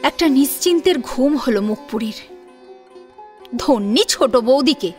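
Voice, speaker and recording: Female narrator for a family drama serial: high voice, very lively intonation, expressive scripted delivery. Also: unhurried. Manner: expressive storytelling with rhetorical questions. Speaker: narrator of an audio story serial. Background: soft sitar and plucked string music.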